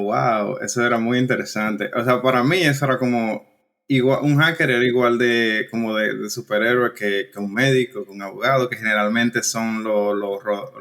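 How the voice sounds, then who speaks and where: A young man with a Dominican accent, telling his story as an interview guest in a relaxed, halting way with frequent restarts. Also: lowish voice. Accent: Dominican Spanish. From Dominican Republic. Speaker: guest engineer. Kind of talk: casual conversational interview.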